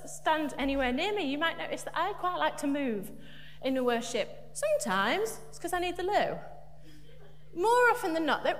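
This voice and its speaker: A woman preaching a light, humorous sermon in church, chatty and self-deprecating. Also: high-pitched. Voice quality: big loud voice. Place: church hall. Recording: echoey church hall, faint hum.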